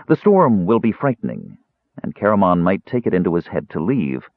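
A man voicing a cold, sickly male mage who speaks softly, dryly and in measured phrases. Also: low-pitched, big pitch swings, creaky, no room sound, heavily acted. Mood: counseling. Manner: soft, dry and measured. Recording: tinny phone-like narrow band.

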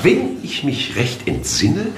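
An actor playing a smooth, genial official in favor of agribusiness. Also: male voice, mid-range voice, huge pitch swings, takes it slowly, reverberant, crowd noise.

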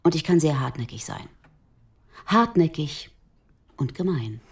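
An actress playing a controlled, tough police detective around forty in a radio drama, cool, pointed and dry. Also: female voice, deep voice, sing-song, measured pace, husky, heavily acted. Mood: quietly threatening. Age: 40s. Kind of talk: naturalistic German radio drama acting.

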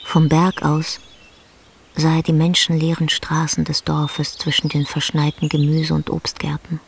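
A woman narrating a literary radio play in a sombre, restrained, husky voice. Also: deep voice, completely flat delivery, measured pace, a little hiss or hum.

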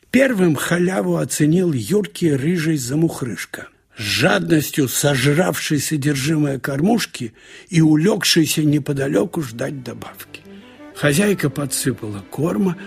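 A man narrating a short story in a conversational, wry way, with expressive asides, like a radio audiobook reader. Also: on the high side, gravelly, breathy edge. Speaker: narrator reading literary prose.

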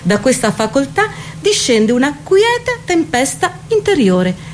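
A woman acting an anguished, exalted soul in a very high voice that swoops widely. She recites a poetic confession in an intense, declamatory stage manner. The recording has clear noise behind her. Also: sing-song, unhurried. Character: exalted, anguished woman confessing torment over beauty and body.

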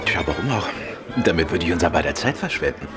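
Ein Schauspieler spielt in einem Hörspiel einen weltgewandten, ironisch neckenden Krimiautor und Amateurdetektiv. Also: male voice, deep voice, big pitch swings, fast talker, over music. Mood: teasing, ironic. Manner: suave, ironic, playful. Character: urbane, teasing gentleman novelist and amateur detective. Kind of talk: German radio play acting.

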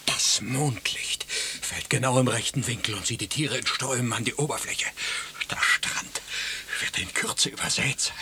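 An actor playing a flamboyant, self-intoxicated crayfish catcher, pompous and full of flowery flattery, in a stylised German radio play. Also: male voice, medium pitch, moderate pace, in a whisper. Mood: rapturous.

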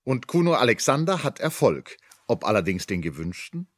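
A wry male storyteller narrating a radio drama. His voice is mid-range with very lively melody, and his delivery is ironic. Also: medium pitch, normal speed. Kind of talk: scripted radio play narration.

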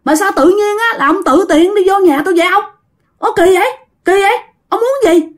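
A woman's voice: very high voice, brisk, voice pushed up. A woman voicing a bold, tipsy countryman in a ghost story, talking back bluntly and defensively. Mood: indignant.

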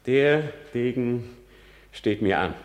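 An actor playing a melancholy, defiant young prince in a radio tragedy, theatrical, moving between brooding and fierce outcry. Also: male voice, mid-range voice, lively intonation, takes it slowly, big-room sound, poor audio. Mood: eager, challenging. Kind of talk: classic radio play acting of stage tragedy.

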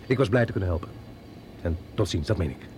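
An actor playing a wry, informal government agent in a radio play, talking casually with a lightly joking manner. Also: male voice, low voice, expressive, quick pace, darkish tone. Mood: wry, cordial. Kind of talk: Dutch radio drama acting. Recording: noisy radio play recording.

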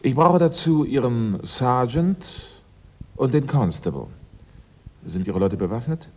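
A man playing a self-assured amateur sleuth in a German radio drama, speaking politely and deliberately. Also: medium pitch, animated, husky. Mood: composed, knowing. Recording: dull radio recording, faint noise.

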